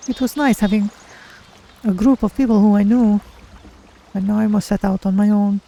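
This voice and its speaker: A streamer voicing an earnest, curious female cat-folk adventurer in a fantasy game, with measured, formal phrasing. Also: medium pitch, steady tone, vocal fry, mellow, natural voice. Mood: earnest, polite. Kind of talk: in-character game roleplay narration.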